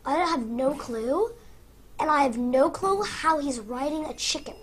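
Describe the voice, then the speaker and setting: Chatty high-voiced girl with a cold. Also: lively intonation, measured pace. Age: child. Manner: chatty, playful. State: has a cold. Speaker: co-player, daughter. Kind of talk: casual gameplay chat.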